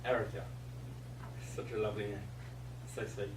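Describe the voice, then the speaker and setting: A man's voice: fairly low pitch, level tone, normal speed, natural voice. A man acting a lonely, awkward widowed father, hesitant and self-effacing, in a stage drama. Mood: awkward, shy. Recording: echoey room from far off, loud noise.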